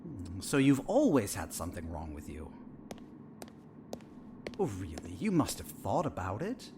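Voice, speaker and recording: A man playing a sinister, smug therapist in a horror audio drama, measured and theatrical, with a falsely soothing, condescending manner. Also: sits low, wildly swooping pitch, noisy background, heavily acted.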